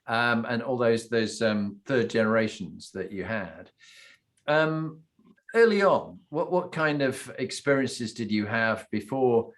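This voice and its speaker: A man from Britain hosting an interview podcast, enthusiastic and quick to laugh, with stammering restarts as he asks questions. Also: mid-range voice, animated. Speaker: podcast host.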